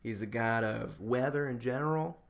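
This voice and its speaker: A man's voice: mid-range voice, moderate pace. An American man hosting a podcast, chatting casually and jokingly as he explains things to his co-host. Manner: joking, informal.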